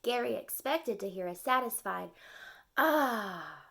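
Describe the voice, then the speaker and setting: A woman's voice: on the high side, lively intonation, unhurried, vocal fry. A woman reading and explaining a children's story expressively, in simple phrases aimed at young listeners.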